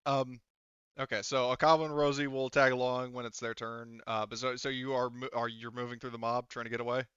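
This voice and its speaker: A man with a crisp, forceful voice runs a tabletop game in a casual, sweary way. He explains rules in an animated manner and laughs easily. The sound is dry and a little lo-fi. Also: medium pitch, fairly quick, dry recording. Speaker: game master.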